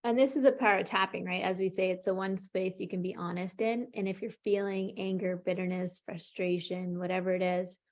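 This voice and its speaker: A woman coaching an emotional tapping session, calmly leading her client through short phrases to repeat, in an encouraging way. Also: lowish voice, a little fast, hardly any pauses. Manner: calm, encouraging, leads with short prompts.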